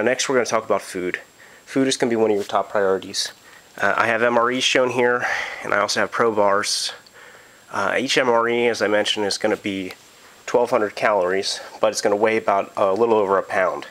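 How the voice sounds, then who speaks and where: Man getting over a cold, low voice. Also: fairly bright tone, low-quality recording. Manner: casual, frequent ums and uhs, explanatory. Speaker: prepper vlogger. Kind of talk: casual gear walkthrough. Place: carpeted home room, indoors.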